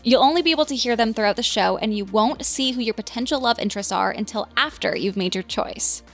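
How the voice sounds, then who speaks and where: A woman's voice: on the high side, quick pace, a bit bright. A woman hosting a dating podcast, upbeat and playful, with faint music behind. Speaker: host.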